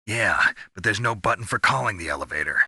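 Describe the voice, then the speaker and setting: An actor playing a gruff, sardonic special-ops soldier in a video game, terse and world-weary with a gravelly, husky voice. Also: male voice, fairly low pitch, animated, fast talker, pushed and bright, dry recording, clean studio sound, exaggerated voice. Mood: dry, weary.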